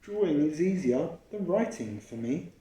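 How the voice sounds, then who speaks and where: A man voicing an eager, imaginative young schoolboy from a storybook, reading with expressive emphasis. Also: fairly high pitch, measured pace, pitched above the performer's own voice. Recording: roomy, echoey home recording.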